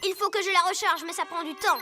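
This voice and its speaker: Woman doing a smug kid evil genius, cartoon, very high voice, music under it. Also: fast talker. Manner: pompous, gloating. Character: scheming, vain boy mad-scientist villain. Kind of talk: animated cartoon dub acting.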